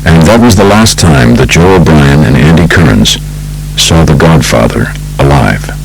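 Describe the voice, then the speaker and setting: A man with an American accent reading a true crime audiobook in a measured, polished storytelling manner. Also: very deep voice, lively intonation, creaky, slight background noise, poor audio. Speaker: audiobook narrator. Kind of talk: scripted narration of true crime book.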